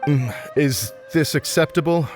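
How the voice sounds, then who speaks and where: A man's voice: a little high, a little slow, a little mellow, faint music behind, lightly acted. A man playing a formal, slightly hesitant, deferential research supervisor in a video game. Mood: businesslike. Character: fussy, deferential male research center supervisor. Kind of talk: scripted video game dialogue.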